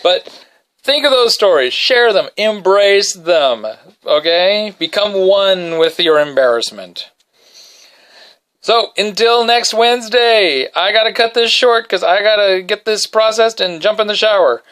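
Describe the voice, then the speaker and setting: A man hosting a podcast from his bedroom, telling a self-deprecating story in a casual, animated way and singing short snippets quietly. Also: a little slow, clear, resonant tone. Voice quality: baritone. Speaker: podcast host.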